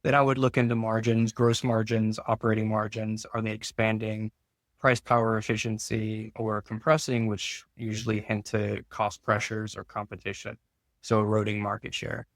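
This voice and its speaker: Male interview guest, finance expert. Informal explainer, lower pitch, very even delivery. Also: moderate pace, dry recording.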